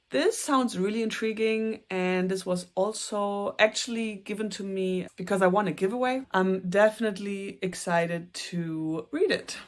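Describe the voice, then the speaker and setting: A young woman with a German accent, chatting earnestly to camera about books she plans to read, with a few soft, self-conscious laughs. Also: medium pitch. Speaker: booktuber. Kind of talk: casual book talk to camera.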